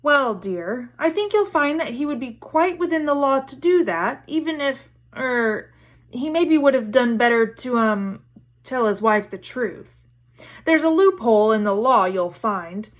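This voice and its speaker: A woman's voice: fairly high pitch, lively intonation, a little slow. A woman voicing a mild, good-natured middle-aged wizard father with a hesitant manner in a storybook reading. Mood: sheepish.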